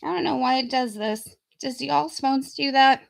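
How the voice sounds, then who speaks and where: A woman's voice: high voice, normal speed, gravelly, dry recording. A woman hosting a casual, folksy live stream as a coach, her voice wearing out as she comes down sick. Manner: informal, folksy, addressing viewers directly. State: getting sick, losing her voice. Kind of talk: casual live stream chat and sales pitch.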